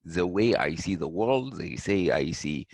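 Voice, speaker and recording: A middle-aged man from Ethiopia, answering interview questions thoughtfully, with many pauses and restarts. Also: sits low, animated, dry recording. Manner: halting, many restarts and fillers. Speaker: guest.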